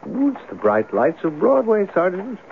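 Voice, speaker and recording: A man's voice: high voice, huge pitch swings, normal speed, vocal fry, slight background noise, heavily acted. An actor playing a probing, literary newspaperman in an old-time radio drama, with a dramatic, insistent delivery. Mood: inquisitive, wry. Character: probing, theatrical newspaperman storyteller in old New York. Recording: tinny vintage radio, faint music.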